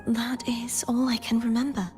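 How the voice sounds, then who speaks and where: A woman playing a solemn, gentle young noblewoman in a video game, with soft, measured, formal phrasing. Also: a little high, flat delivery, music in the background, lightly acted. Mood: wistful.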